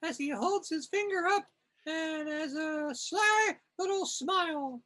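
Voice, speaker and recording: Very high-pitched, slow, wry woman. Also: bright, forceful tone. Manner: wry, amused. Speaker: narrator of a comic reading. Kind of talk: improvised, casual scene description.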